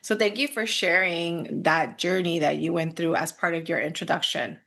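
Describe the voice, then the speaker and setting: A woman hosting an interview podcast, encouraging and a little halting as she frames her questions. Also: lowish voice, gravelly, fairly bright tone. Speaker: podcast host.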